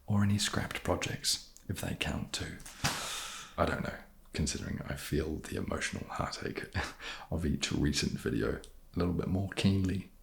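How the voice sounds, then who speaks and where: A man chatting softly in an ASMR question-and-answer style, with a low gravelly voice and a hesitant, dry, self-deprecating manner. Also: normal speed. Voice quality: low, gravelly, soft-spoken. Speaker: ASMR video creator and host. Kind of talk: soft-spoken ASMR question-and-answer chat.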